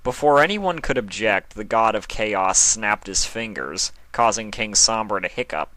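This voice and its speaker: Bright-voiced man narrating a story. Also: fairly low pitch, expressive, moderate pace, dry recording, rough recording. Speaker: fan fiction reader.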